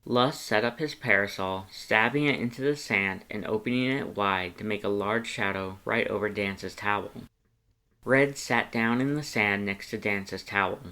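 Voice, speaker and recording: A man narrating fan fiction in an expressive audiobook style. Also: fairly low pitch, moderate pace, clean tone.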